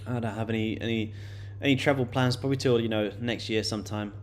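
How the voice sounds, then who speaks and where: Man, late 20s, lowish voice, casual live-stream chat, loose delivery full of fillers. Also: steady tone, fast. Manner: loose and chatty, many fillers and repeated words. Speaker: day-trading livestream host.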